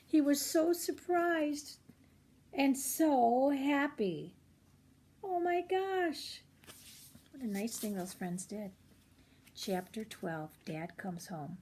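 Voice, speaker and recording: A woman's voice: sits high, very animated, takes it slowly, long pauses, warm tone. An older woman reading a children's chapter book aloud expressively, slipping in chatty little asides to young listeners. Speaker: children's read-aloud host.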